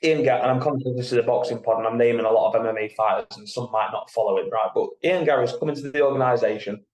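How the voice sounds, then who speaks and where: Male podcast co-host, medium-pitched, explanatory and conversational, working through examples, recorded with noticeable room echo. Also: quick pace, darkish tone. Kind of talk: casual sports podcast discussion. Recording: roomy, echoey sound.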